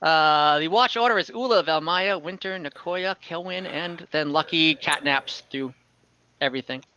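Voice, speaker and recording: A man's voice: a little high, normal speed, pushed and bright, highs cut off, a little hiss or hum. A man improvising narration as game master of a tabletop fantasy game over an online call.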